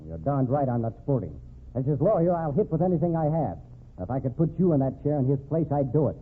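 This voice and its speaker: A man's voice: medium pitch, big pitch swings, fast, slightly rough. An actor playing a dynamic, blunt criminal defense lawyer in an old-time radio drama, pressing his case with passion. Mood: angry, scornful. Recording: narrow, tinny vintage radio recording.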